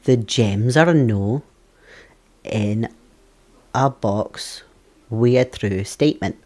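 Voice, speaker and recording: A man's voice: medium pitch, drawn-out words, darkish tone. Chatty Scottish man streaming a game. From Scotland. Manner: chatty, laughs often, thinks aloud. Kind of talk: live game stream chat.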